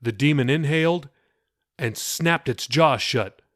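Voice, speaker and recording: A man narrating his own novel in a measured, expressive storytelling voice. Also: mid-range voice, dry recording, clean studio sound. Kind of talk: audiobook narration.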